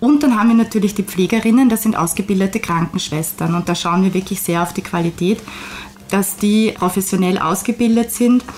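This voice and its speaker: A woman whose first language is Hungarian, a guest briskly and fluently listing details of her agency's services. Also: medium pitch, darkish tone. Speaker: guest, care agency co-owner.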